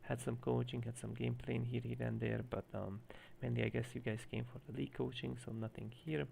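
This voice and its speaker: A man's voice: medium pitch, flat delivery, a little fast, creaky, a little mellow, slight background noise. A man with a Hungarian accent coaching a player live on stream, explaining at length with many fillers. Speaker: game coach.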